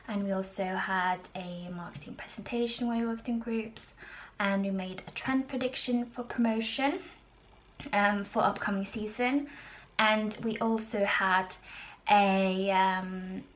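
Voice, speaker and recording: A woman, giving a casual, informative vlog in a halting way with drawn-out pauses. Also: mid-range voice, fairly even intonation, low-quality recording. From Norway. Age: 20s. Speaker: student video blogger.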